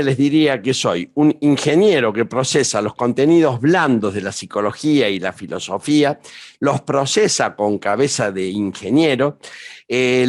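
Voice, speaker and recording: A man with an Argentine accent speaking as an invited seminar presenter, explaining things in a deliberate, rhetorical way. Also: medium pitch. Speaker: invited speaker and executive advisor.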